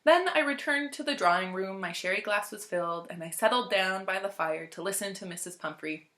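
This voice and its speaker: Bright-voiced woman reading a story aloud. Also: mid-range voice, fairly quick. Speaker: library storytime reader. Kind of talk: scripted narration, expressive story read-aloud.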